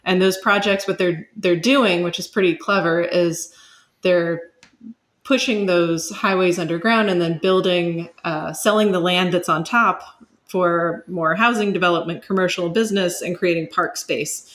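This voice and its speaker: A woman speaking as an academic guest, giving explanatory interview answers in measured, searching phrases with asides. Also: lowish voice, fairly even intonation, a bit bright.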